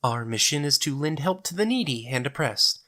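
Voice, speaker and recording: A man voicing a gentle, petite, devout woman in an audio drama, speaking politely and formally. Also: mid-range voice, expressive, moderate pace, pitched above the performer's own voice. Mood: earnest, restrained.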